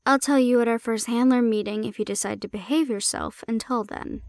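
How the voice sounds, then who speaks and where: A woman's voice: fairly high pitch, fairly even intonation, brisk, no room sound, lightly acted. A woman plays a bold female handler in an audio drama, recorded dry and close. She is sardonic and blunt, teasing but assertive, and sounds completely unintimidated. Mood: firm, challenging. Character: bold, cocky non-human female handler, sardonic and unafraid. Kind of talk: audio roleplay acting.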